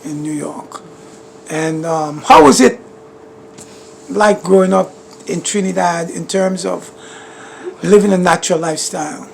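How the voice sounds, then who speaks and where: A woman interviewing a guest, asking slow, encouraging questions. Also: on the low side, creaky, slight background noise.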